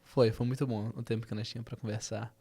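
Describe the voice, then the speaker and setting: A young man from Brazil who grew up in the Netherlands, speaking Portuguese with a foreign-tinged Brazilian accent, chatting casually and haltingly as a podcast guest. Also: mid-range voice, flat delivery, creaky, warm tone, husky. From born in Brazil, raised in the Netherlands. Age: young adult. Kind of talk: casual chat.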